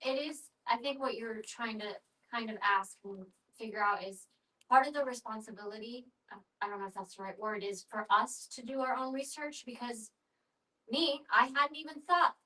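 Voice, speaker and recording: Woman, bright voice, tentative, thinking aloud, group talk, slightly far from mic. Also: fairly high pitch, moderate pace. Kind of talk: group discussion. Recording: voice picked up from a distance.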